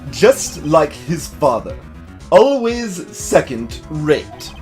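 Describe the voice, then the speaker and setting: Man performing a pompous, menacing older prosecutor villain, theatrically animated. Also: fairly high pitch, extremely slow, soft background music, exaggerated voice. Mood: contemptuous.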